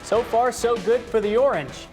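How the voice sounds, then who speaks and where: A young American man doing sports broadcast commentary in clipped, energetic phrases, as an announcer and host. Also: very high voice, normal speed, faint music behind. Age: young adult.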